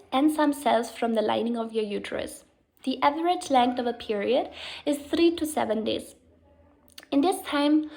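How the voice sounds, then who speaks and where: Woman with a gravelly edge. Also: a little high, a little mellow. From Austria. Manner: earnest, explanatory, with small restarts. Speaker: health practitioner. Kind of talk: informal talk to camera on women's health.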